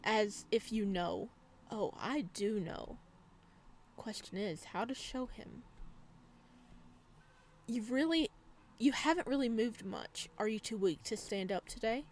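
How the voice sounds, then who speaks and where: A woman's voice: a little high, animated, takes it slowly, pauses often, darkish tone, slight background noise. A woman gaming YouTuber reading visual novel text aloud, mixed with casual commentary.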